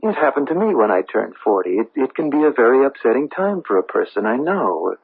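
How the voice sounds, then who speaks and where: A man's voice: mid-range voice, big pitch swings, a little fast, creaky, slightly rough, full character voice. An actor playing an earnest, slightly hesitant middle-aged businessman husband in a 1970s radio drama. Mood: concerned, earnest. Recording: tinny, phone-like old radio broadcast.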